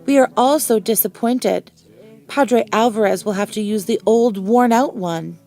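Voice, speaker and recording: A woman's voice: fairly high pitch, measured pace, dark tone, faint music behind. Woman reading as disappointed gossiping village woman.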